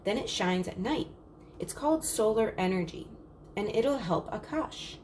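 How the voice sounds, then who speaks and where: A woman's voice: medium pitch, expressive, a little slow, a little mellow, slight background noise, pitched above the performer's own voice, lightly acted. A woman voicing a practical older sister, a young girl, in a storybook, earnestly explaining things.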